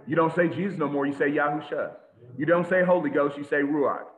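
A man preaching an animated sermon to a congregation over a video call, with lots of repetition and sudden shouts. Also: fairly high pitch, brisk. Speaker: teacher of a congregation. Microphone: video call webcam. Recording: tinny phone-like echoey audio.

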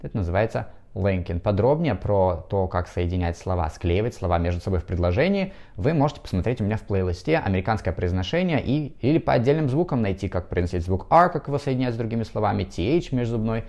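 A man in his thirties with a Russian accent, teaching English pronunciation to camera on a lapel mic in a bright room. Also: lowish voice, animated. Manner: explains slowly, switches between languages, models pronunciation. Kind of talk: video lesson to camera.

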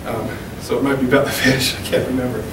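A man in his thirties reading poems at an open mic into a handheld mic, slow and deliberate, with casual asides between poems. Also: mid-range voice, flat delivery. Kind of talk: poetry reading. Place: small room at a podium. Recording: echoey room, camera from far off, hum.

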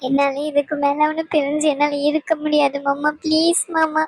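A woman's voice: high voice, level tone, moderate pace, dry recording, close to an everyday voice. A woman acting as a young woman in hospital, crying and pleading with her uncle, tearful and stubborn.

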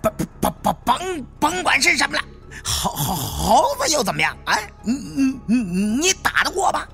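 A rough, panicky adventurer played by a male narrator stutters heavily and blurts out repeated words. His pitch leaps about wildly, in audiobook style, with music underneath. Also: huge pitch swings, moderate pace, sharp tone, exaggerated voice. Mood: frantic, urgent. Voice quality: heavy stutter. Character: Lao Yang, stuttering, rough, panicky male tomb-raider buddy.

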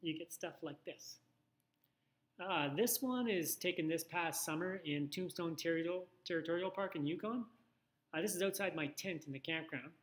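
A man gives an informal instructional talk as a photographer. His voice sits a little higher than most men's. He talks conversationally, thinking aloud and adding asides as he explains. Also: normal speed, a bit bright. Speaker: photographer giving a presentation.

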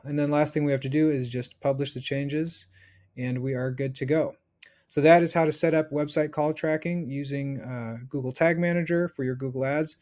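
A man in his thirties giving a casual software tutorial, informally thinking aloud as he goes step by step. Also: fairly high pitch. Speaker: instructor.

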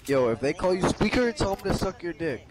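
A man's voice: high voice, sing-song, a little fast. A young man in casual game voice chat, talking in a slangy, profane way. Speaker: video game teammate. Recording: very noisy game headset chat.

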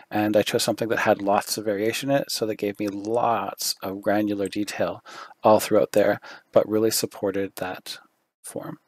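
Brief: man tutoring a 3D tool, low fry-edged voice, dry and close, hoarse, unhurried. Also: no room sound. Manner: relaxed, thinking aloud with fillers. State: losing his voice, throat clearing. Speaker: 3D artist giving a tutorial. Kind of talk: screen-recorded software walkthrough.

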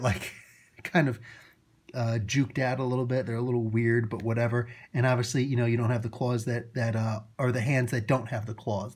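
A man's voice: mid-range voice, slightly rough. A man, off camera and filming toys with a handheld phone, rambling through a casual review with many fillers, restarts and chuckles. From Italian heritage. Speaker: toy reviewer. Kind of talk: casual unboxing commentary. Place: indoors at a table, off camera.

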